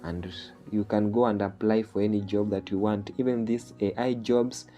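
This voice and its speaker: A man's voice: fairly low pitch, fairly even intonation, normal speed, dark tone, lo-fi sound, faint music behind. A man from Kenya with a Kenyan accent, a native Swahili speaker, walking through a screen-share tutorial step by step and often checking in with "okay?". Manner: explaining step by step, ends phrases with "okay?". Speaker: online jobs tutorial host.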